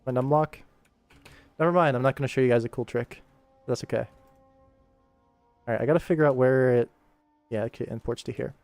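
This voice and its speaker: A young man with a mid-pitched voice talks casually as an animator streaming his work. He rambles, jokes and pokes fun at himself as he goes. Music plays behind him. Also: a little fast, long pauses, a little mellow, no room sound. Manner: rambling, self-deprecating, jokey. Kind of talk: casual livestream chat while working. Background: background music.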